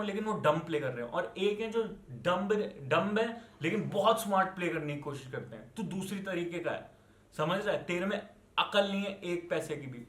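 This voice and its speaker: A young man from India with an Indian accent delivers an angry, unscripted rant as an online commentator, scolding and swearing with rhetorical questions and switching into English. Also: sits high. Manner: switches between Hindi and English. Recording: echoey, reverberant room.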